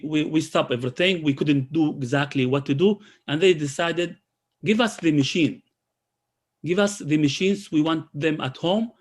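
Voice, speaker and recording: A man from Israel who speaks with a halting manner, with many restarts and fillers, presenting his community work in a video call. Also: fairly high pitch. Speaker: community program coordinator.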